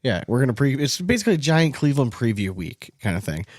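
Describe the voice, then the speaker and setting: A man's voice: mid-range voice, very animated, fast talker, darkish tone, no echo. Casual American man, very dry close sound. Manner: foul-mouthed, informal. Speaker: podcast host. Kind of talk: casual co-host chat.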